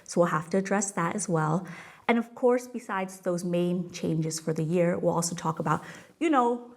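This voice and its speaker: A woman's voice: fairly low pitch, normal speed. A woman in her thirties from California with an American accent, hosting a webinar and explaining things in a chatty, emphatic, reassuring way. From California, United States. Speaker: webinar host, tax expert. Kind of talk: webinar presentation, conversational explaining. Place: office, indoors, at a desk.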